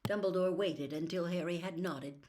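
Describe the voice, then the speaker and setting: A woman narrating a novel aloud as a storyteller, with an expressive, dramatic delivery. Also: on the low side, moderate pace.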